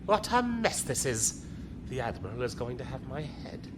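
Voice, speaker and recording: An actor playing an imperious, exasperated imperial fleet commodore in a sci-fi audio drama, giving sharp commands. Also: male voice, medium pitch, big pitch swings, moderate pace, close to an everyday voice. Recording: long hall reverb, noisy ambience.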